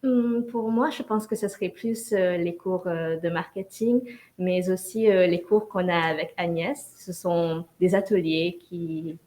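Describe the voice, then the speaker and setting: A young woman with a lowish voice answers interview questions in a steady, informative way, laying out schedules and plans step by step. She speaks as a first-year student. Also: unhurried. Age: young adult.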